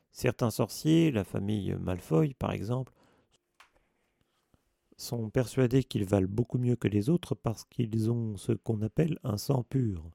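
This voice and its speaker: Male narrator voicing a hoarse, indignant sick schoolboy wizard, dry close read. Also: mid-range voice, warm tone, no room sound.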